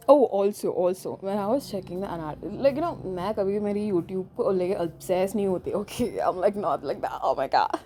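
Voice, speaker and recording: Woman, Indian accent, young adult, casual home podcast, chatty, filler-heavy, warm soft tone. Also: mid-range voice, lively intonation, fast, slight background noise. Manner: rambling and chatty, full of filler phrases. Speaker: podcast host. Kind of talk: casual solo podcast chat.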